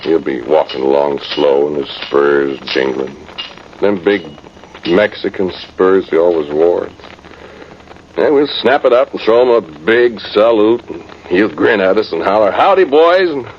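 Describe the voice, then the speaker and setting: An actor, a man, playing a folksy, rueful cavalry sergeant rambling through a radio drama monologue. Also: deep voice. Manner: folksy, ungrammatical, rambling soldier talk. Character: folksy, rueful old-time cavalry sergeant, a ghostly soldier. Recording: muffled, hissy vintage radio recording.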